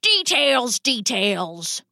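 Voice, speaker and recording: A woman's voice: high-pitched, sing-song, measured pace, bright, forceful tone, dry recording, studio quality, heavily acted. A woman voicing a stubborn, doting old father rat with theatrical, emphatic bluster in a children's story. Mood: dismissive, stubborn.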